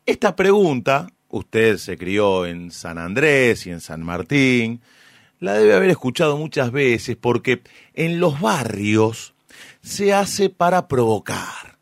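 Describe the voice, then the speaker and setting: A man with an Argentine accent, asking interview questions in measured phrases as an attentive host. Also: medium pitch, no echo, clean studio sound.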